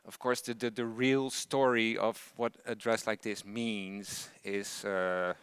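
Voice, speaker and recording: A man makes a short, halting introduction on a hall stage, restarting his phrases along the way. His voice is smooth and resonant. The recording is dry and close. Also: fairly low pitch, flat delivery, a bit bright. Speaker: project presenter introducing a speaker. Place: large concert hall stage.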